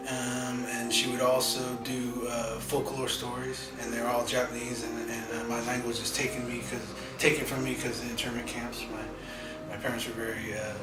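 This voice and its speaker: A man from California, a poet and educator, answering interview questions in a reflective, halting way with many fillers. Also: medium pitch. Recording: roomy echo, distant mic, music.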